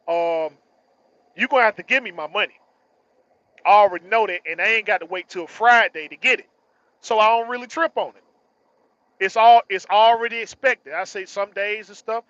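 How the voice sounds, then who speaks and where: Man, early 40s, high bright voice, slangy and emphatic vlog monologue that circles back on phrases; dry, muffled lo-fi sound. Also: no room sound. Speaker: truck driver vlogger. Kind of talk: casual motivational monologue.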